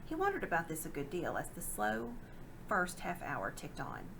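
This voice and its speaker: A woman reading a children's novel aloud in a dramatic, expressive narrating style. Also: low voice, moderate pace, creaky, noisy background. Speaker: storybook reader.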